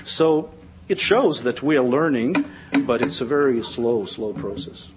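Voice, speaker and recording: A man speaking on a conference panel, measured and pausing often, repeating words as he searches for them. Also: medium pitch, lively intonation, very noisy.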